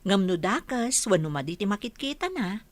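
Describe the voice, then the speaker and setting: A woman's voice: medium pitch, sing-song, moderate pace, dry recording, natural voice. A woman playing a kindly village schoolteacher in a radio comedy-drama, polite and coaxing. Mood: welcoming, advising. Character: kindly village schoolteacher offering household work.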